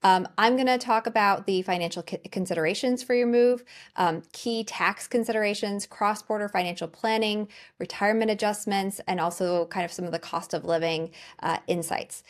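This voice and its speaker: A woman's voice: mid-range voice, normal speed. A middle-aged American woman presenting as the host of a webinar. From United States. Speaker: webinar host, financial planner.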